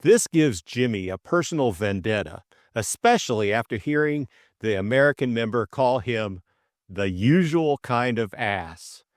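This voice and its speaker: A man's voice: mid-range voice, lively intonation, a little slow, no echo, studio quality. A man reading a scripted story recap and novel as an audiobook co-narrator, in dramatic style.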